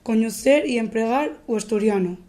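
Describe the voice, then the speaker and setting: Woman reading, soft tone. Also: fairly high pitch, moderate pace. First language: Portuguese. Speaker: student presenter. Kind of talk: reading a prepared text aloud.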